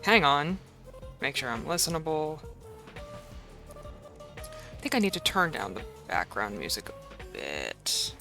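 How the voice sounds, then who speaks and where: Slightly hoarse woman streamer. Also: low-pitched, wildly swooping pitch, measured pace, pauses often, bright, forceful tone, faint music behind. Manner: rambling and playful, talking to chat. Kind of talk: casual live-stream chat.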